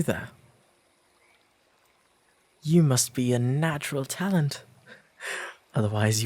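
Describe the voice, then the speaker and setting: An earnest, shyly smitten English gentleman of the 19th century played by a man in a romantic audio roleplay. His delivery is slow and formal, halting a little with nervous sincerity. The voice is soft and breathy, with a slightly husky edge. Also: mid-range voice, dry recording. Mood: admiring, reassuring.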